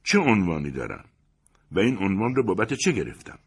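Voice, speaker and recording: Man acting out a pompous, indignant proud gentleman. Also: sits low, big pitch swings, breathy edge, heavily acted. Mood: imperious. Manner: pompous, declamatory, scornful.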